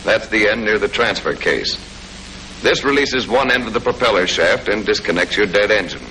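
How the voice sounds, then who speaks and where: A man's voice: fairly low pitch, animated, normal speed, sharp tone. An American man giving scripted, matter-of-fact instruction with folksy touches, in the style of a 1940s military training film narrator. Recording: noisy old 1940s film soundtrack.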